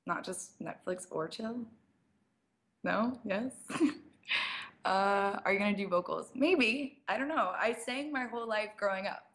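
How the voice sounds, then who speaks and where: A young woman, a DJ, chatting casually through a live-stream Q&A, slightly raspy and sprinkling her talk with "like". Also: mid-range voice, measured pace, a bit bright. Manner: chatty, fills speech with "like" and small laughs. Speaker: DJ and producer. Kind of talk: casual live-stream Q&A, reading viewer questions.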